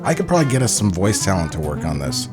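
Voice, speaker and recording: An American man co-hosting a podcast, chatting casually in an animated way and swearing freely. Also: low-pitched, fast talker, over music. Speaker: podcast co-host. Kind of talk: casual chat.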